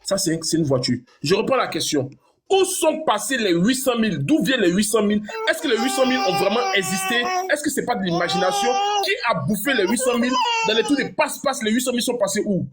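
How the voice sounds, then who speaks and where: A man with a high voice hosts a live online talk show, pressing his guests with the same question again and again in a theatrical way. His voice carries a faint hiss of background noise. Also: big pitch swings, quick pace. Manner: theatrical, repeats questions insistently.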